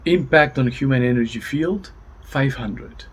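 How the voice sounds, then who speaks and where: A man's voice: mid-range voice, measured pace, breathy edge. A man co-presenting, reporting readings in brief, matter-of-fact statements. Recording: background ambient noise.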